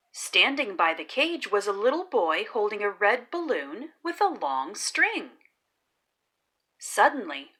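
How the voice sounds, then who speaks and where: A woman reads a children's story aloud in a bright, fairly high voice. Her delivery is unhurried and theatrical, with very wide swings in pitch. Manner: deliberate, animated storytelling delivery. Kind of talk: expressive scripted children's story narration.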